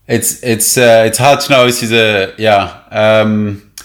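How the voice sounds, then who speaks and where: Man, very low voice, roomy echo, halting speech, reporter being interviewed. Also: fairly even intonation. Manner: halting, many restarts and fillers, measured. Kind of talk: conversational interview. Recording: echoey room.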